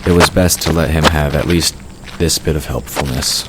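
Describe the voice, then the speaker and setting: A man's voice: rumbling low, fairly even intonation, moderate pace. A man narrating a fantasy audio drama from a script. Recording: clearly noisy background ambience. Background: outdoor ambience sound effects.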